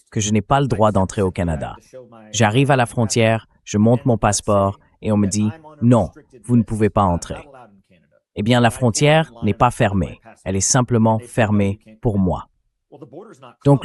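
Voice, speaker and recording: Male, lowish pitch, voice-over translation, political analysis, measured, explanatory. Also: a little mellow.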